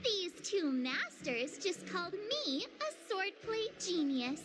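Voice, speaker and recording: A woman voicing an excitable, perky teenage girl apprentice in an anime game, easily flattered and dramatic. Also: very high voice, slow, heavily acted. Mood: excited, proud. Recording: music playing behind the voice.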